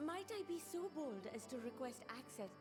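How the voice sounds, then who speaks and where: A woman's voice: sits high, big pitch swings, moderate pace, over music. An actress voicing a polite, eager scholarly woman in a video game, with courteous, formal phrasing. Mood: politely expectant. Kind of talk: scripted video game voice acting.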